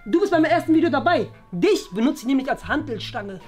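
Man acting as cocky dim bodybuilder schoolboy, very high voice, animated, comedy sketch, music behind. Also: fairly quick. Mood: boastful. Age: young adult. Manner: boastful, exaggerated. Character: cocky, dim young male bodybuilder pupil.

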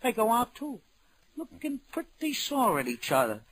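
A bright, slangy New wiseguy. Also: male voice, extremely high pitch, wildly swooping pitch, normal speed, breathy edge, exaggerated voice. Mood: gossipy. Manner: streetwise, breezy, terse slang. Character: slangy, wisecracking New York auction-shop dealer and police informant. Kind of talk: 1950s radio drama acting. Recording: noisy old radio recording.

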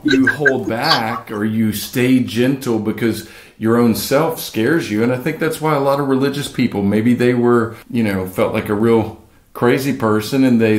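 A man's voice: medium pitch, normal speed. A man co-hosting a podcast, thinking aloud in a reflective, casual way. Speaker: podcast co-host. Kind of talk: casual discussion. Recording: roomy echo.

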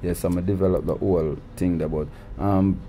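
A man's voice: low voice, steady tone, a little fast, warm tone, a little hiss or hum. A Jamaican man in his thirties talking casually in an interview, often repeating words as he starts a sentence. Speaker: guest artist. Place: recording studio.

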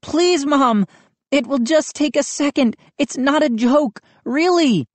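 A woman voicing an excitable young boy who talks in a frantic, pleading rush. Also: high-pitched, slow, no room sound, voice pushed up.

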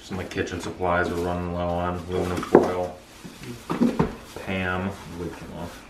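A man in his twenties with an American accent, chatting casually in a low-key, matter-of-fact way as a vlogger. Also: completely flat delivery, normal speed. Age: 20s. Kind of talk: casual grocery haul chat. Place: open kitchen and dining room at home. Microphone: camera a few feet away. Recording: echoey room, clear background noise, rustling clicks.

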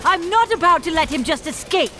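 A woman's voice: very high voice, animated, a little fast, noisy background. A woman voicing a fierce, imperious young knight commander in a manga dub, zealous and commanding.

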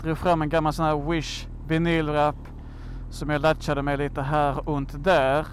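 A man with a slightly high voice talks casually while riding a motorcycle outdoors. He rambles along with jokey, slangy asides. Clear wind and traffic noise runs behind his voice. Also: flat delivery. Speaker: motorcycle vlogger and host.

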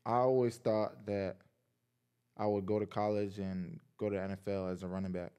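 A man in his twenties from Massachusetts, a guest in a casual podcast interview, telling stories in a relaxed, laid-back way with lots of "like.". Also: lowish voice, moderate pace, smooth. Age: 20s. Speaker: guest, pro football player. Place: small indoor podcast studio. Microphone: boom mic on arm beside him.

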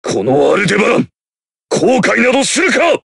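A man's voice: very animated, moderate pace, creaky, breathy edge, no echo, clean studio sound, full character voice. A man voicing a burly, hearty, unyielding knight in an anime battle game, bold and roaring out his attacks. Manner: booming, bold, roared attack names.

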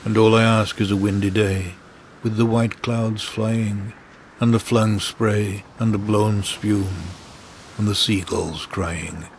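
A man reading a poem in a solemn, measured, deliberate recitation. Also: lowish voice, little pitch movement. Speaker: poetry reader. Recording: clear background ambience noise.

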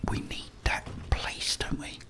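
A middle-aged man with a British accent whispers rambling, apologetic ASMR commentary while playing a game. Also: slow. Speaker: game streamer. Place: small indoor room.

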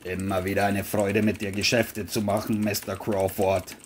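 A narrator voicing a burly middle-aged male carpet dealer in an audio crime drama, gruff, taunting and smug. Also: deep voice, level tone, moderate pace, slight background noise, pitched below the performer's own voice, lightly acted. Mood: smug, threatening.

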